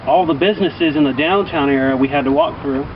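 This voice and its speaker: A man's voice: a little high, brisk. Male storyteller, colloquial and rambling, in a dull, distant recording swamped by heavy ambient noise. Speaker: vlogger telling personal stories. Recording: distant mic, muffled, loud outdoor traffic-like noise.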